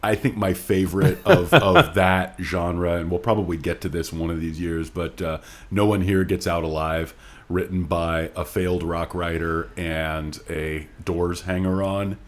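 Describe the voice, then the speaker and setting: A man co-hosting a podcast, talking casually about books with joking, deadpan asides. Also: low voice, a little slow. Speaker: podcast host.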